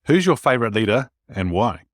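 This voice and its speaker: A man's voice: mid-range voice, very animated, a little fast, dry recording, clean studio sound. A man with an Australian accent hosting a leadership podcast, upbeat, often restating his guest's points. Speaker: podcast host. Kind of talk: interview host chat.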